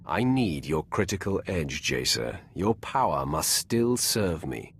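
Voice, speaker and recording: Game role: male Sith master, controlled and authoritative, rock-bottom pitch with creaky grit, noisy ambience. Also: big pitch swings, slow pace, heavily acted. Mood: commanding. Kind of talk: video game voice acting.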